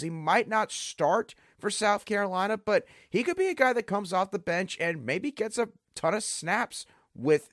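A hoarse man hosting a solo sports podcast, breaking down players in an emphatic, conversational way. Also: on the high side, fairly bright tone, no room sound, clean studio sound. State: hoarse, under the weather. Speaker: podcast host.

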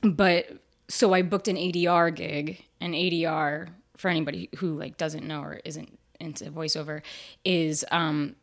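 Raspy, low-voiced woman chatting candidly. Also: steady tone, normal speed. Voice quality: raspy, fairly deep voice. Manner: candid and chatty, with frequent fillers and laughs. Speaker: actor and voiceover artist, interview guest. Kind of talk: casual interview chat.

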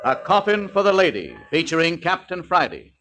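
A man's voice: on the high side, huge pitch swings, normal speed. A man narrating a 1940s radio adventure drama in a dramatic scripted style. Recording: old radio recording with faint noise.

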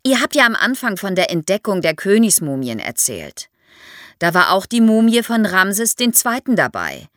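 A woman's voice: mid-range voice, huge pitch swings, moderate pace, a bit bright, dry recording, studio quality. A woman explains history to young listeners as an expert guest, in a didactic way, posing questions as she goes.

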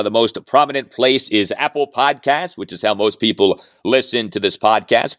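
A man hosts his own sports talk podcast, his voice still recovering after losing it. He talks with lively, swooping intonation and punchy emphasis, dotted with "uh" fillers. The sound is close and dry, with no room around it. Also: mid-range voice, no room sound. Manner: animated, emphatic delivery with frequent "uh" fillers. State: recovering from lost voice.

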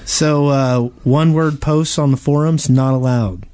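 A man with a slightly high voice co-hosts a podcast. He talks casually, in short conversational remarks. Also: steady tone, unhurried, darkish tone. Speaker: podcast co-host. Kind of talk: casual chat.

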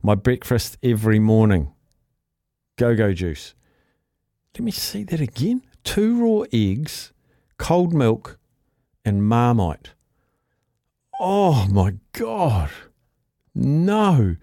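Direction Slow, lively-pitched male radio host. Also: no echo, studio quality. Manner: reads out listener texts with incredulous reactions. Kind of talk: casual radio chat.

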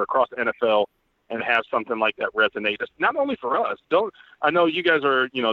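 A man speaks quickly over a muffled, phone-like connection with very little room sound. He banters casually about sports as a guest journalist, mixing crude jokes with mock-serious analysis. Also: medium pitch, dry recording. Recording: muffled phone-like line, dry.

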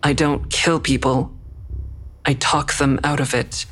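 A woman's voice: a bass voice, fairly even intonation, takes it slowly, exaggerated voice. An actress playing a guarded, defiant woman who narrates her own crime story in a wry, sarcastic way. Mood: defensive. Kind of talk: audio drama acting with first-person narration.

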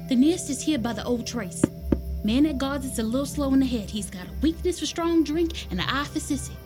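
An actress playing an alert, curious enslaved daughter, quiet and secretive, in an audio drama. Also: female voice, sits high, lively intonation, a little fast, over music. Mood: planning. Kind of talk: dramatic audio fiction acting.

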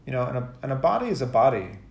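Gravelly man in an echoey room. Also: mid-range voice, sing-song, darkish tone. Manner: halting, earnest, repeating words as they go. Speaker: guest poet and editor. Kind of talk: reflective interview answer. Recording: roomy, echoey sound.

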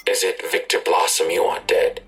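Gravelly, whispery, menacing blackmailer. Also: male voice, low voice, flat delivery, fairly bright tone, exaggerated voice. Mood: taunting. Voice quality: gravelly, husky. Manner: taunting, deliberate, slipping into whispers. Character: menacing male online blackmailer, coldly insistent on deals. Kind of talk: full-cast audio drama acting.

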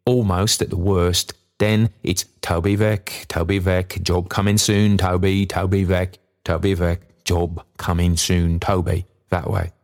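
A man's voice: sits low, little pitch movement, unhurried, hardly any pauses, darkish tone. A man voicing a kindly, poor old working father in a storybook, musing cheerfully in folksy, rambling speech. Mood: warm, animated. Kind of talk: storybook character dialogue.

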